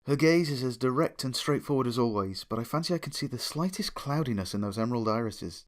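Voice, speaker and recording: A man's voice: medium pitch, lively intonation, fast talker. Man narrating a dramatic story. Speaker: fan fiction reader, narrator.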